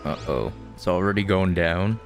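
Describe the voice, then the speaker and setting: A man's voice: deep voice, moderate pace, faint music behind. A man reacting casually to a video game as a streamer.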